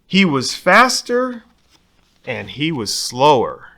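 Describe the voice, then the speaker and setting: A man's voice: fairly high pitch, wildly swooping pitch, slow, gravelly, sharp tone. A man reading a children's picture book aloud expressively, putting dramatic emphasis on key words. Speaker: storybook reader.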